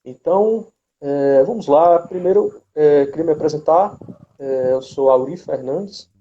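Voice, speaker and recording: Creaky man hosting, muffled audio. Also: fairly high pitch, measured pace, warm tone. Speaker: host, business administrator. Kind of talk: casual live chat hosting. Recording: dull lo-fi live stream audio.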